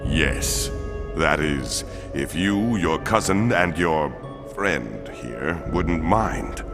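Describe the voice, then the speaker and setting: A four-armed alien cop with a super deep voice, formal and stiff, game music going under it. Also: male voice, animated, big-room sound, heavily acted. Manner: formal, measured, commanding. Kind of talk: video game cutscene acting.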